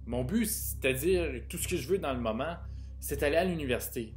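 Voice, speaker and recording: A man's voice: on the high side, expressive, fairly quick, faint music behind, lightly acted. A man reading the part of a teenage boy who dreams of university, speaking offhandedly to the audience. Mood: musing. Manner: offhand, telling the audience about his life. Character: teenage boy about to finish school, a dreamer. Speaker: reader in a staged play reading. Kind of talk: theatre reading of a monologue.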